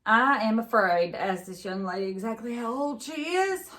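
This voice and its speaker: A stern small-town sheriff from a storybook, acted out by a woman reader. He questions bluntly and in disbelief, the voice pushed higher for emphasis. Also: expressive, moderate pace, fairly bright tone. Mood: incredulous.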